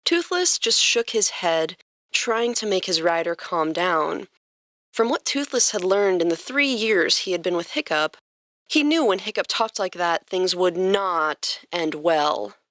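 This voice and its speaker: A woman's voice: on the low side, animated, a little slow, a bit bright, dry recording. Dry, close-sounding woman narrating a story. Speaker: podcast host and story narrator. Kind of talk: expressive scripted story narration. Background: music.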